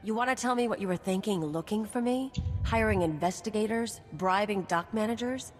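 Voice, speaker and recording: A woman's voice: mid-range voice, fairly quick, natural voice. A woman playing a cynical, brash young mercenary in a video game, curt, dismissive and sardonic. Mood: cold, irritated. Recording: long reverb, music behind.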